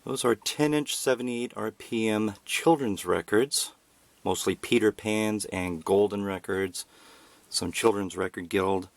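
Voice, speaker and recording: A man with a fairly low voice narrates a casual home tour. He presents as a collector showing things off, dropping wry, self-deprecating remarks as he goes. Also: unhurried, dry recording. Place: indoors at home.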